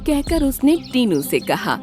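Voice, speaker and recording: Expressive, high-voiced woman storyteller. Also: unhurried, faint music behind. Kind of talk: scripted children's story narration.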